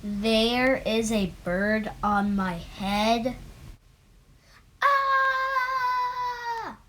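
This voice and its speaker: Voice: mid-range voice, expressive, drawn-out words, background noise, voice pushed down. A child voicing an anxious, easily upset elephant in a picture book read-aloud. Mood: worried.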